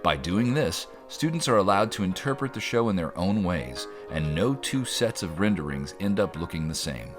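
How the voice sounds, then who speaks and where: A man reading scripted promotional narration in a measured, formal way. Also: low-pitched, animated. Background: music under the voice.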